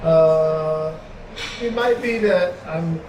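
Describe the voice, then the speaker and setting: A middle-aged man from the United States, chatting casually as an interview guest, animated and full of fillers. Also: fairly high pitch, extremely slow, dull audio. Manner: animated storyteller, repeats fillers like "like". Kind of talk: casual chat. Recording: echoey room with background noise.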